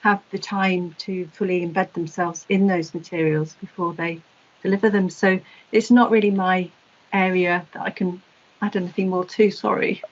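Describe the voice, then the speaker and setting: Lower-voiced woman presenting, dull webinar audio. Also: steady tone, normal speed. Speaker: academic presenter. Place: online webinar call.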